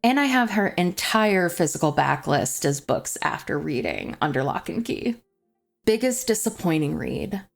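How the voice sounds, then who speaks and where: A woman with a slightly low voice talks to her viewers as a book vlogger. She is chatty and enthusiastic, moving between prepared lines and casual asides. Faint music plays behind her. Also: very animated, a little slow, gravelly. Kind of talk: casual chat to camera, semi-scripted book review.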